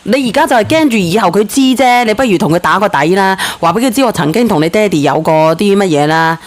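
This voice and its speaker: Female call-in host: medium register, brisk pace, blunt interjections, casual advisory tone. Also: medium pitch, expressive. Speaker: host of a call-in advice show. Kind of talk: casual advice chat.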